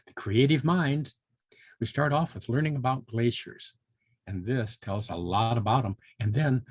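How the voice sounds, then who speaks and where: A man's voice: mid-range voice, darkish tone, husky, dry recording. An older man reading and chatting to young children in a folksy, musing way. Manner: folksy, musing, with interjections like hmm and well. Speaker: volunteer storybook reader for children.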